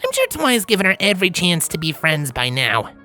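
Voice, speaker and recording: A woman's voice: lowish voice, sing-song, brisk, sharp tone. A woman voicing a brash, sardonic young female pony in a cartoon story. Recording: music behind the voice.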